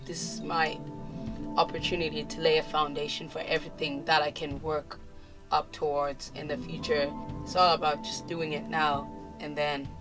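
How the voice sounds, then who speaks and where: A young woman from Zimbabwe, a musician, giving relaxed, thoughtful interview answers in a casual way, recorded indoors in a studio. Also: sits low. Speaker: musician guest. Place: indoor studio with clothing racks.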